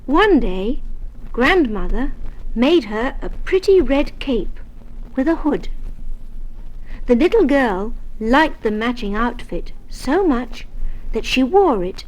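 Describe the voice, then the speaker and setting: High-voiced, slow woman reading a children's story. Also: sing-song, poor audio. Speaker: story reader. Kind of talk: scripted storybook narration for children.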